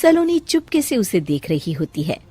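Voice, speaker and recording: A woman's voice: medium pitch, big pitch swings, normal speed, warm tone, husky. A woman narrating a cartoon story from a script.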